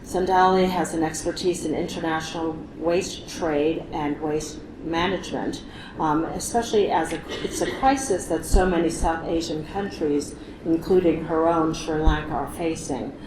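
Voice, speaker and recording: A woman's voice: sits low, background noise. A woman presenting an award, reading a formal introduction from notes in a luncheon hall. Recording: echoey banquet room, audible ambience.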